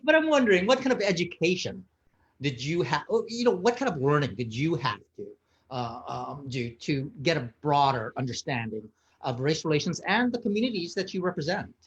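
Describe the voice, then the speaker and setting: A man's voice: a little high, sing-song, brisk, muffled recording. A man from Hawaii moderating a panel, asking friendly, rambling questions.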